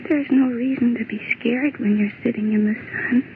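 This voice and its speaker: A woman's voice: a little high, steady tone, vocal fry, narrow, tinny audio. An actress playing a dazed, childlike woman lost in a delusion, speaking with eerie placid dreaminess in an old-time radio horror drama. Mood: dreamy, blissful. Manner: dreamy, slow, eerily placid. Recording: noisy, band-limited old radio recording.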